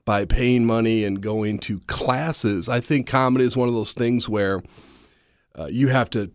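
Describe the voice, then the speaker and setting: A man talks casually in a radio interview, his voice a little scratchy from a long day. He is chatty and self-deprecating and laughs easily. His voice sits at a middle pitch and is recorded dry and close. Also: unhurried, no echo. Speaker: stand-up comedian and guest.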